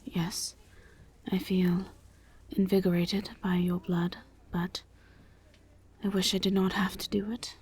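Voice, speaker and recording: Woman playing a breathy, hushed, formal vampire lady. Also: lowish voice, little pitch movement, full character voice. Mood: hesitant, tender. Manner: halting, careful, formal diction without contractions. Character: gentle, reserved female vampire travelling with a knight. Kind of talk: roleplay audio drama addressed to the listener.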